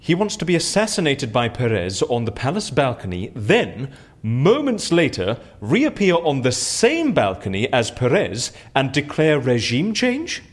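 An actor playing an exasperated, sardonic fixer of faked deaths in an audio drama, with a theatrical manner. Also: male voice, mid-range voice, huge pitch swings, a little slow. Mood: incredulous. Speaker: fixer who stages fake deaths. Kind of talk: audio fiction acting.

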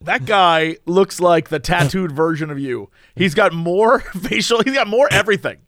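A man co-hosting a casual comedic podcast, rambling along in a playful, animated way. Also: high voice, moderate pace, no echo, clean studio sound. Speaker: podcast co-host.

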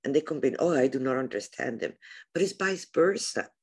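A woman's voice: extremely low pitch, expressive, brisk, slightly rough. A woman hosting a podcast, speaking in a reflective, plain-spoken way. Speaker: podcast host. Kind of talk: casual conversation.

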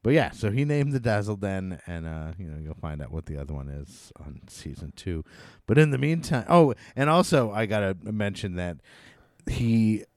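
Low-voiced man chatting casually about music. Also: sing-song, moderate pace, a little mellow, no echo, clean studio sound. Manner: enthusiastic, informal, swearing. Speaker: podcast host. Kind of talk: casual rambling music chat.

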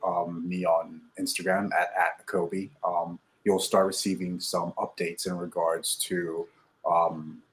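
Deep flat-voiced man. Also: low-quality recording. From Nigeria. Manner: measured, many fillers and hedging phrases. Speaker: startup founder, guest. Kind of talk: interview answers.

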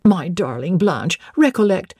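A woman's voice: lowish voice, sing-song, a little slow, a little mellow, breathy edge, no room sound, studio quality, exaggerated voice. A woman voicing a proud older aristocratic mother in an audiobook, grand and disapproving. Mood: pleading, disapproving.

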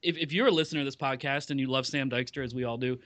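A man's voice: medium pitch, steady tone, very fast, a bit bright, dull audio, slight background noise. Man rambling through a podcast segment. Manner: rambling, with many fillers and self-corrections. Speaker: podcast regular covering ballpark business news. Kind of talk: casual podcast chat.

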